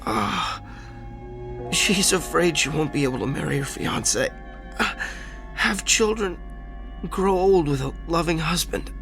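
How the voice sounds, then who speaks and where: A man playing a tormented doctor in a radio drama, his voice strained, halting and pleading, crying out in anguish. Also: a little high, lively intonation, vocal fry. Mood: halting, afraid. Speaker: actor. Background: music.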